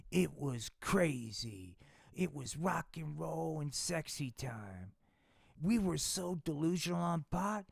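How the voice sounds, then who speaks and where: A man voicing a ditzy woman puppet confessing in a giddy, breathy way. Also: on the high side, darkish tone, no echo, clean studio sound, exaggerated voice. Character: woman puppet, former good girl, ditzy.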